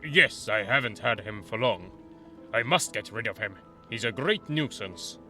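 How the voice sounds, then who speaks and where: A man's voice: on the low side, lively intonation, measured pace, bright, forceful tone, soft background music, heavily acted. A man voicing a nervous, evasive young male student who stammers and hurries his words.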